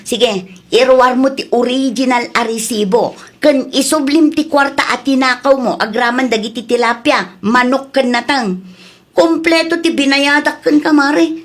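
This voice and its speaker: A woman's voice: high voice, normal speed. A woman playing a suspicious, insistent customer with a pointed, scolding manner in a comedy drama. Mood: accusing, scolding. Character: suspicious, insistent female customer exposing a cheat.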